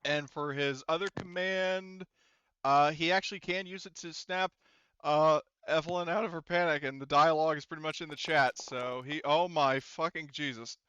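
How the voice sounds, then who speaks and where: Guy game mastering online, sweary and giggly, kinda high bright voice, slow and halting, dry slightly muffled mic. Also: fairly even intonation, clean tone, no room sound. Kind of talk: casual, running an online tabletop roleplaying game.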